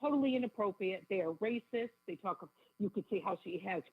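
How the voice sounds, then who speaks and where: Radio phone-in guest, woman, raspy congested voice, urgent and emphatic, narrow telephone sound. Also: medium pitch, expressive, a little fast, no echo. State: has a bad cold. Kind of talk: live radio call-in advocacy. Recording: tinny, narrow phone line.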